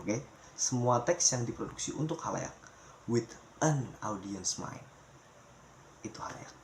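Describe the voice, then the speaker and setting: A man's voice: medium pitch, expressive, a little slow, a little hiss or hum. Chatty young man presenting a class topic. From Pemalang, Central Java, Indonesia. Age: young adult. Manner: chatty, calls the viewers guys, mixes in English terms. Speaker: university student presenter.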